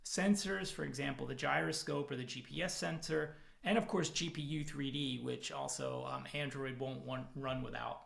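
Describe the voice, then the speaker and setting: A man presenting as a product manager at a technical conference, talking from home over a video call in a relaxed, self-deprecating way with plenty of fillers. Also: mid-range voice, moderate pace, creaky, hardly any pauses. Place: home, in the evening.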